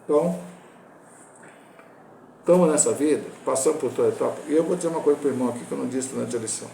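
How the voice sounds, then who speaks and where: A Brazilian man in his sixties, a pastor teaching a Bible lesson, talking in a halting way with restarts and self-corrections. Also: mid-range voice, gravelly, mellow, roomy sound, a little hiss or hum, poor audio. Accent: Brazilian Portuguese. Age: 60s.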